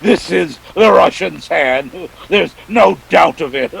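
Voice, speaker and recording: An actor playing a jovial, pompous, scheming older crime boss with florid, courtly speech in a detective radio play. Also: male voice, on the high side, animated, slow, gravelly, fairly bright tone, husky, full character voice. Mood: jovial, composed.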